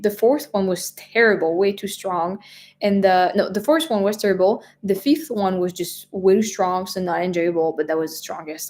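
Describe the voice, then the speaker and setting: A woman's voice: on the low side, a little mellow. A woman in her twenties with a French accent, chatting casually on a live stream, rambling with many fillers and restarts. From France. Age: 20s. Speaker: travel vlogger answering live chat.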